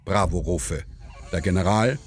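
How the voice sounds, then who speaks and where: A man's voice: low voice, unhurried. A man reading stage directions as the narrator of a scripted drama.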